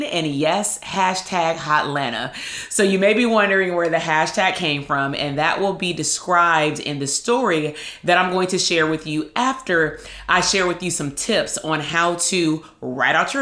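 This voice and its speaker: A woman in her thirties with a loud voice, hosting a casual motivational talk as a psychologist and branding coach, lively and conversational with quick asides. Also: sits low, roomy sound.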